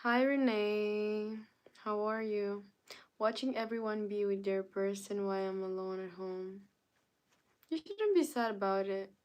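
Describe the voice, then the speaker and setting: Slow-talking woman chatting with stream viewers. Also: medium pitch, smooth. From Canada. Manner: greets viewers by name and answers chat comments. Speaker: livestreamer. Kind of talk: casual live-stream chat with viewers.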